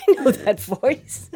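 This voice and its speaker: A woman chatting casually as an interview guest, effusive and self-deprecating, acting out little asides as she tells her stories. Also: dark tone. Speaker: interview guest, podcast writer and voice actor.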